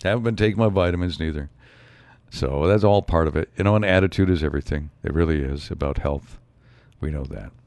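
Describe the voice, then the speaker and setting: A man hosting his own show in a rambling solo monologue, his voice a bit raspy from a slight cold. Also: a bass voice, a little fast, a little mellow, no room sound. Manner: rambling, musing, frequent asides. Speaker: show host.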